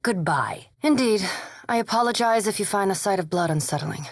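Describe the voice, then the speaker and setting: A reserved vampire scholar played by an actress speaks in a medium-pitched woman's voice, choosing words with formal precision. The game dialogue is measured and coolly polite, recorded close and dry with no room sound. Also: animated, no echo, close to an everyday voice. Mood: polite, guarded, then formal, composed. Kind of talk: video game character dialogue.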